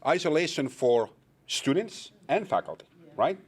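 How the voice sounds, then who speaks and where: Finnish male academic, animated intonation, wry and interactive. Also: a little high, a little slow, slightly rough. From Finland. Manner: wry, engages audience with questions. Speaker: conference presenter. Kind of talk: conference panel talk.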